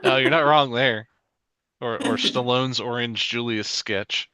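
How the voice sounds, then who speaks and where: A man's voice: mid-range voice, fairly even intonation, unhurried, crowd noise, low-quality recording. A man chatting casually as one member of a group panel. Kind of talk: casual chat.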